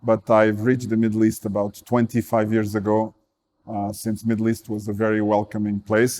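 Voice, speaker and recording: A man from Portugal speaks as an interview guest in a low voice. His pitch barely moves, and he pauses often with "uh" as he works through his answers. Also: mellow. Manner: measured, with frequent "uh" fillers. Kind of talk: casual interview talk.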